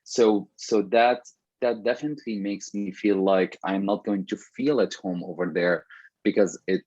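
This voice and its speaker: Low-voiced man hosting a video call panel. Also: fairly even intonation, moderate pace, no room sound. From Syria. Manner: warm, chatty, with many fillers and restarts. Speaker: panel moderator. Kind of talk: casual panel conversation. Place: room at home. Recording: dull video call audio.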